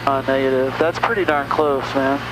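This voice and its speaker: A man's voice: medium pitch, fairly even intonation, normal speed. A man piloting a small plane, talking casually through an aviation headset. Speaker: pilot and flight instructor. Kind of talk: casual cockpit talk. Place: small plane cockpit in flight. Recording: noisy small-aircraft cockpit headset audio. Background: engine drone.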